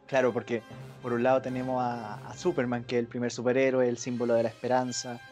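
Guy breaking down superhero stuff point by point, normal voice, soft music behind. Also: mid-range voice, level tone, fast. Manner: explanatory, lays out points in a lecturing way. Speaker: podcast co-host. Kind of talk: casual chat.

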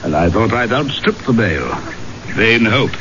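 A reclusive English gentleman in an old radio drama. He is courteous and dryly self-mocking, with lively intonation. The muffled recording has clear background noise. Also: lowish voice, measured pace. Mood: polite, surprised. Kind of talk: 1970s radio drama acting.

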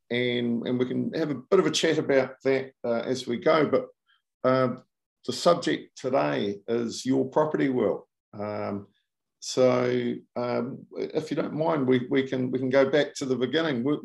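A man hosting a property podcast, asking questions with many fillers and halting phrasing. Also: medium pitch, vocal fry. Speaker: podcast host. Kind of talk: casual interview chat.

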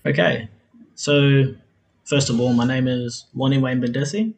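A man's voice: medium pitch, measured pace, vocal fry. Rambling young Australian man. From South Australia. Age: 20s. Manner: rambling, repeats himself, many "like" fillers, laughs often. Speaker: podcast guest. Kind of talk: casual interview storytelling.

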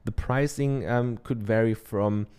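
A man's voice: mid-range voice, measured pace, a little mellow, clear, resonant tone. A man from Germany with a German accent, giving a casual how-to talk from his office into a dynamic studio microphone, chatty and loose, with many ums and tag questions. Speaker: podcaster. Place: treated home office.

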